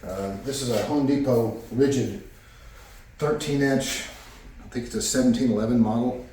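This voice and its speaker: Casual hobbyist workshop vlogger, halting and chatty, in a very roomy shop with a faint hum behind him. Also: male voice, medium pitch, dark tone, recorded from a distance. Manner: rambling, halting with fillers and restarts. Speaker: woodworking vlogger. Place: home workshop. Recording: echoey workshop, voice off-mic.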